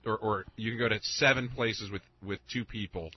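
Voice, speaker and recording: A man's voice: on the low side, steady tone, moderate pace, muffled recording, a little hiss or hum. A man co-hosting a radio show, chatting casually with wry, laid-back asides.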